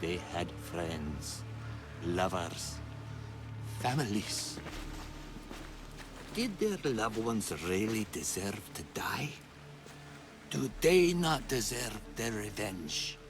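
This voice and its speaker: An actor playing a calm, sadistic game villain who taunts in a mocking, menacing manner. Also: male voice, on the low side, drawn-out words, pauses often, noisy background, heavily acted. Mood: menacing, gloating. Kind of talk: dramatic video game acting. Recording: dramatic music behind the voice.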